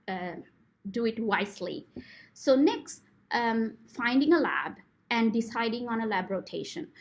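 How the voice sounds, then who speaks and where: A woman in her thirties from Sri Lanka with a Sri Lankan accent, a postdoctoral researcher giving informal advice to prospective students, earnest and full of fillers. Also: sits high. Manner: frequent fillers, earnest advising tone.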